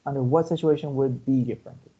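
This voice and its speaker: A man's voice: medium pitch, steady tone, a little fast, warm tone, husky, dull audio. A male university lecturer teaching programming online over shared slides, explaining step by step and often checking in with "okay?" and "right?". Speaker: university computer science lecturer.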